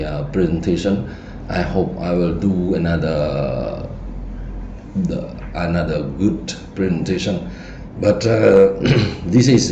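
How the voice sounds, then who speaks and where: A man from Cambodia with a Cambodian accent, whose first language is Khmer, giving a feng shui lesson as an online presentation in halting English, full of fillers and restarts. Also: low voice, warm tone. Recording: dull muffled recording, roomy echo, faint noise.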